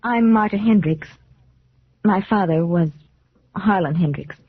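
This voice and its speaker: A woman's voice: lowish voice, measured pace, husky, no room sound. An actress playing an earnest, anxious young woman with a hesitant, sincere manner, in 1940s radio style. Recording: thin phone-like 1940s radio recording.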